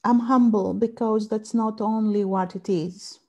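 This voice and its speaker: Slow-spoken Greek-accented woman. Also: mid-range voice, fairly even intonation, a little mellow. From Greece. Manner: thoughtful, searching for words with pauses. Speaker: family therapist. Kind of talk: interview conversation.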